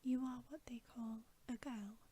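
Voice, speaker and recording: Woman reading as a polite male fawn, storybook, slow whisper, flustered and formal, faint noise. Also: fairly high pitch, steady tone, mellow, lightly acted. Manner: courteous, formal, hesitant with repeated phrases.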